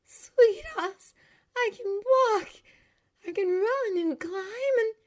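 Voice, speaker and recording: A woman voicing an excitable young woman in a story, breathless and exclaiming with joy. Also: very high voice, slow pace, pitched above the performer's own voice, exaggerated voice. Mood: overjoyed, tearful. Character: excitable young woman overjoyed at first steps.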